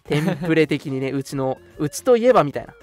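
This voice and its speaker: A man's voice: fairly high pitch, expressive, fast talker, darkish tone, soft background music. A man hosting a radio show, giving announcements in a polite, chatty way. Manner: polite, chatty, frequent interjected particles. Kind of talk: casual scripted-segment radio announcements.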